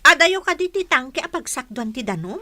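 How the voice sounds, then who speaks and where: A woman as a bright, high-voiced chatty friend. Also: very animated, moderate pace, no echo, exaggerated voice. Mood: curious. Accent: Filipino. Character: chatty, curious young woman, loyal friend. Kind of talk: comedy drama acting.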